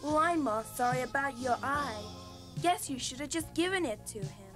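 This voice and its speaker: A woman's voice: sits high, expressive, normal speed, over music. A young woman with an American accent playing a friendly, concerned teenage girl in film dialogue. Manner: casual, friendly.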